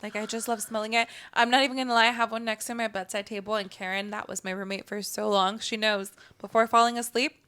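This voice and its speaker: High-voiced young woman telling stories. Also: fast, few pauses, clear, resonant tone. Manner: animated, giggly, profane storyteller. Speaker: podcast co-host. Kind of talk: casual chat.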